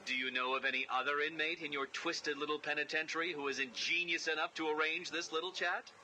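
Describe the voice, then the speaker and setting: A man's voice: fairly high pitch, fairly even intonation, fast talker, bright, forceful tone, slight background noise. A man voicing an arrogant, narcissistic genius villain in a video game, with a smug, theatrical, mocking delivery.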